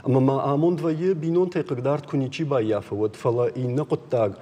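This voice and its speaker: Husky, mellow man presenting in reverberant space. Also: mid-range voice, moderate pace. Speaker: TV talk show co-host. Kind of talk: conversational news presenting.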